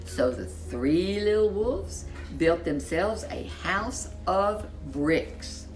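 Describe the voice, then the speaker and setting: A woman reading a children's story aloud as host, with expressive narration and dramatic, drawn-out emphasis on key words. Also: on the low side, soft background music, rough recording.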